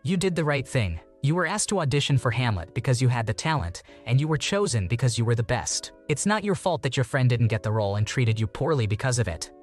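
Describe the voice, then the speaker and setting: Guy reading reddit-style stories fast, medium pitch, quiet music underneath. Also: very animated. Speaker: narrator reading online posts. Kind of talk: scripted narration of forum stories with brief comments. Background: background music.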